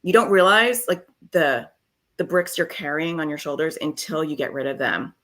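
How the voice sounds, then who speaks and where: Low bright-voiced woman in her fifties. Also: moderate pace. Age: 50s. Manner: warm, frank, filler-heavy with many ums. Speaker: guest therapist. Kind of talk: casual interview chat.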